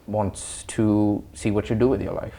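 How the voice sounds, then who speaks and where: A young man from Sri Lanka answering interview questions in a thoughtful, articulate, measured way. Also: fairly low pitch, steady tone, gravelly, mellow. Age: young adult. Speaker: interview guest. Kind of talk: reflective interview talk.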